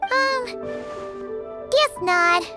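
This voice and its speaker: Squeaky little fairy sidekick for a game, super high childlike voice, unhurried, music loud behind. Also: female voice, lively intonation, exaggerated voice. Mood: puzzled, earnest. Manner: exclamatory, refers to self in third person. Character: Paimon, tiny excitable childlike floating fairy companion girl. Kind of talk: video game character acting.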